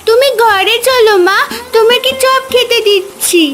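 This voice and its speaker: Very high-voiced little village girl. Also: unhurried, a bit bright, soft background music. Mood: sweet, earnest. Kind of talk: Bengali cartoon story dubbing.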